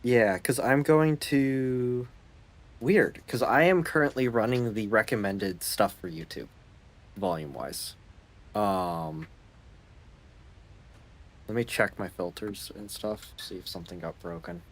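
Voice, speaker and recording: A man's voice: on the low side, takes it slowly, long pauses. A man streaming a factory-building game, chatting casually and muttering to himself while he adjusts his audio settings. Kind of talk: casual gaming chat.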